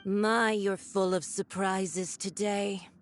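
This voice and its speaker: A woman's voice: medium pitch, steady tone, takes it slowly, faint music behind, natural voice. A woman playing a cruel, elegant villainess in a video game, speaking with condescending, mocking theatricality. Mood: coolly amused. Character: haughty, cruel, elegant villainess, a powerful diplomat.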